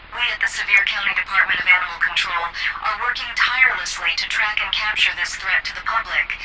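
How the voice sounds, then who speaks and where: A woman's voice: medium pitch, far from the mic, rough recording. A woman playing a firm animal control official, reading a public safety advisory through a radio-like filter.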